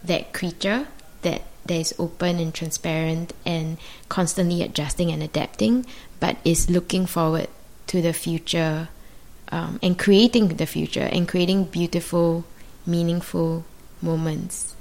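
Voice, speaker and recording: A woman with a low voice and Mandarin as her first language, thinking aloud as a guest. She speaks thoughtfully and hesitantly, pausing often and reaching for the right words. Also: fairly even intonation, a little mellow, low-quality recording. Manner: thoughtful, searching, many pauses and fillers. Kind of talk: reflective conversation.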